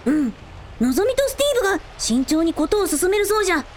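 A woman's voice: very high voice, very animated, fast talker, slight background noise. A woman playing a young, earnest dragon prince in a radio drama, speaking in archaic lordly phrases with childlike openness. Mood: matter-of-fact. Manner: old-fashioned lordly speech with childlike openness. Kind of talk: Japanese radio drama acting.

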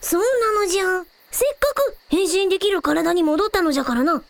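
A woman's voice: extremely high pitch, animated, a little fast, creaky, dry recording, heavily acted. A woman voicing a small, proud, good-natured dragon prince with childlike, quaint, lordly old-fashioned speech in an audio drama. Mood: earnest, proud.

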